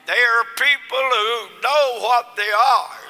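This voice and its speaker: A hoarse man preaches in a slow, drawn-out way, his gravelly voice pitched high and bright. A long reverb trails behind every phrase, as in a large hall. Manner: slow, drawn-out delivery rising into shouts. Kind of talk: sermon.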